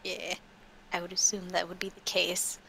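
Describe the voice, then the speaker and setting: A woman running a tabletop roleplaying game as game master, speaking haltingly and often repeating words. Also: on the low side, monotone, creaky, fairly bright tone, husky, low-quality recording.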